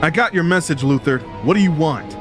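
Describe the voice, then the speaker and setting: A man's voice: fairly high pitch, expressive, a little fast, over music, lightly acted. A man voicing a cocky, youthful hedgehog hero in a comic dub, casual and wry. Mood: defiant.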